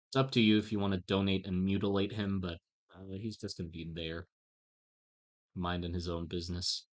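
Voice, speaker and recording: A man chatting casually on a live stream while he draws, in a halting, self-mocking way. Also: fairly low pitch.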